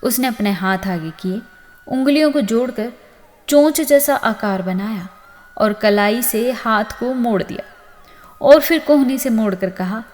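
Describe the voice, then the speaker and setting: High-voiced woman storyteller in heavy reverb. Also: lively intonation, unhurried, darkish tone. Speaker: storyteller and channel host. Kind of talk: scripted narration of a moral story. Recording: long reverb on the voice.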